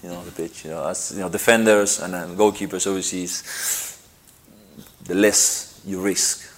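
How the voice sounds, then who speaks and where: Lowish halting man from Argentina. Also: fairly even intonation. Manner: halting, repeats phrases, frequent "you know". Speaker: interviewed footballer. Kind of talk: relaxed interview answers.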